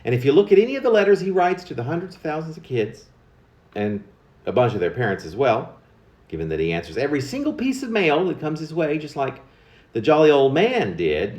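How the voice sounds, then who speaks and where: A man recites a humorous narrative poem with a lively, widely moving pitch. His delivery is wry and confiding, like a storyteller sharing gossip. The voice sits at a middle pitch in a noticeably echoey room. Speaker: poet reading own work.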